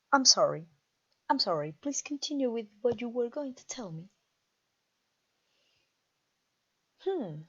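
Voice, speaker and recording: A conquering vampire queen, acted by a woman, speaks in a fairly high voice and takes her time over every phrase. She is haughty and mocking, toying with her captive in theatrical roleplay style. The recording is slightly dull and lo-fi. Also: darkish tone, slightly rough, exaggerated voice. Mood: teasing. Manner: pompous, teasing, drawn-out delivery. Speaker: audio roleplay voice actor. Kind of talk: theatrical ASMR roleplay acting.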